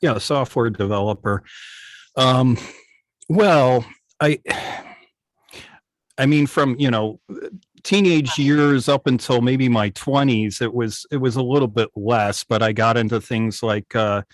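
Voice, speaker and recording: A middle-aged man casually reminiscing as a guest on a video call, speaking in a halting, thoughtful way. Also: medium pitch, dry recording. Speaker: guest, radio hobbyist. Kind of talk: casual reminiscing in an online club interview.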